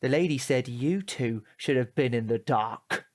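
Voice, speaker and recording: A man's voice: mid-range voice, unhurried, a little mellow, natural voice. A British man playing a cheeky, aggrieved teenage boy in a comic audio drama, indignant, slangy and wheedling. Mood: hurt, pleading. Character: cheeky, aggrieved, foul-mouthed male teenage tearaway.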